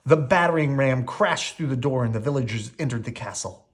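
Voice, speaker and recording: A man reading a children's bedtime story aloud with expressive narration. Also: medium pitch, a little fast. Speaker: storybook reader.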